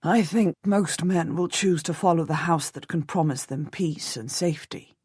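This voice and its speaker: A woman voicing a steady, cautious nobleman husband who speaks in a calm, measured, commanding way. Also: low-pitched, husky, no echo, clean studio sound. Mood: persuasive. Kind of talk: audiobook character voice.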